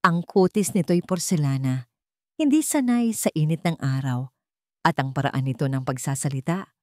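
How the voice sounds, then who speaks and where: A Filipino woman reads a scripted romantic drama as narrator. Her voice sits fairly low for a woman and moves through wide swings of pitch. The sound is dry and close, with very little room. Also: a little mellow, dry recording. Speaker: story narrator.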